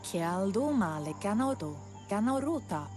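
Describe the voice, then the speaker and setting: A woman's voice: medium pitch, very animated, moderate pace, a little mellow, natural voice. An actress voicing a friendly female animal villager in a video game, babbling chirpily in an invented creature tongue, with music behind her. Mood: friendly, chattering.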